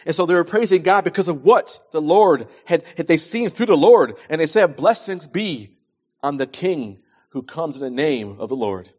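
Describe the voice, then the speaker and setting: Higher-pitched man preaching, dull recording. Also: lively intonation, moderate pace, darkish tone. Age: early 40s. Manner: emphatic, repeats phrases for stress. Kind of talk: sermon with scripture readings and plain advice.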